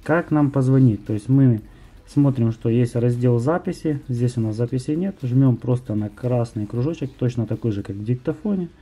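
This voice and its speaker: A young man presents a how-to tutorial in an informal, explanatory way. His voice sits at an average male pitch, with a markedly dark and mellow tone. He talks straight to viewers as the host of a tips channel. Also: normal speed, husky, faint music behind. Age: young adult.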